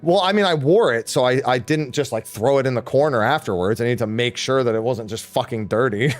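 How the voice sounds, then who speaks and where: A man's voice: mid-range voice, brisk, faint music behind. A man hosting a casual gaming podcast stream, laughing often and swearing casually.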